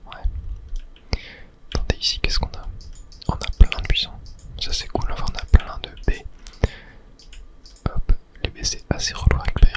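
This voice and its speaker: A person whispering a casual ASMR commentary while playing a video game, thinking aloud in a tentative way. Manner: hushed, unvoiced whispering. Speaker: gaming video host.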